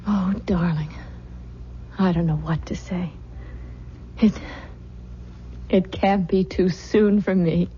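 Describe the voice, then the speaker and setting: An actress playing a tender, weary, secretly fearful young wife in an old-time radio drama, speaking softly and hesitantly. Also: female voice, lowish voice, steady tone, takes it slowly, long pauses. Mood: relieved, weary. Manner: hesitant, guarded, soft-spoken. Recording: muffled, noisy vintage radio recording.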